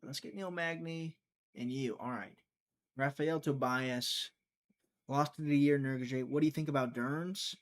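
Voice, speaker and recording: A man hosting a live prediction stream, chatting casually, thinking out loud and talking to his viewers, sometimes trailing off into a mutter. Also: mid-range voice, fairly even intonation, a little slow. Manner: chatty, thinks aloud, talks to chat.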